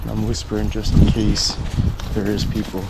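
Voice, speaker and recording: A man's voice: lowish voice, completely flat delivery, normal speed, very noisy. A man casually vlogging while he walks a dog through the woods, rambling and swearing as he talks to the camera. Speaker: vlogger exploring places. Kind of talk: casual walking vlog. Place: woods outdoors.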